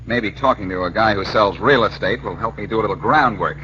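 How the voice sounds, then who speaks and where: Low-voiced, wry American radio detective. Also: male voice, a little fast, bright, forceful tone. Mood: brisk, determined. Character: brisk, wry, determined male amateur detective. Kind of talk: 1940s radio acting. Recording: dull, noisy old 1940s radio recording.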